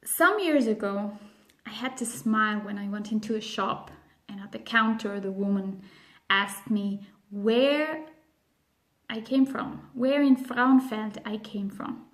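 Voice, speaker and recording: A woman with a Swiss German accent, a native speaker of Spanish and Swiss German, explaining a language lesson in a careful, emphatic way with frequent pauses. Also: mid-range voice. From grew up on the other side of the globe. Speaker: language educator.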